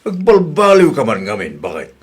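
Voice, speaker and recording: A man's voice: mid-range voice, huge pitch swings, moderate pace, breathy edge. A man playing an exasperated, blunt relative who grumbles and admonishes in a radio comedy drama. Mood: admonishing.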